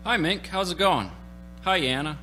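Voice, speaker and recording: A man's voice: high-pitched, moderate pace, a little hiss or hum, lightly acted. A man acting an easygoing, folksy suburban husband and father in a radio play. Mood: genial.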